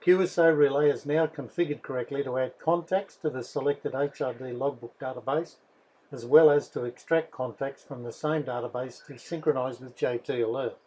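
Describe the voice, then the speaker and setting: A man, reading a scripted software tutorial in a methodical, instructional way. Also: mid-range voice, normal speed, a little hiss or hum, rough recording. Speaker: software developer presenting a tutorial.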